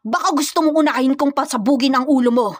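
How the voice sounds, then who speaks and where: A woman's voice: high-pitched, fast, voice pushed up, natural voice. Woman playing menacing arrogant crooked politician. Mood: furious. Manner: emphatic, threatening. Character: menacing, arrogant corrupt mayor and drug lord, male villain.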